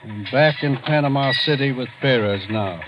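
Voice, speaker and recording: Actor as brisk, dry commissioner. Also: male voice, mid-range voice, lo-fi sound, natural voice. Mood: dry, businesslike. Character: businesslike male police commissioner reading out reports. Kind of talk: old-time radio drama acting. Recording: noisy vintage radio broadcast recording.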